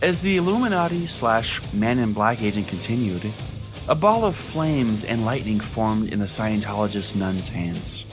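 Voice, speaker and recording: A man's voice: fairly low pitch, lively intonation, moderate pace, over music. A man playing a self-important, foul-mouthed conspiracy pastor, rambling earnestly as if hosting his own comedy podcast. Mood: rambling, bewildered. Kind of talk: mock podcast monologue, comedic storytelling.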